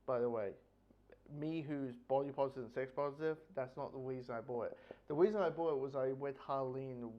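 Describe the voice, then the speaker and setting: A man giving a casual, unscripted review, rambling and halting with repeated phrases, blunt and casually sweary. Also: mid-range voice, highs cut off. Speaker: book reviewer.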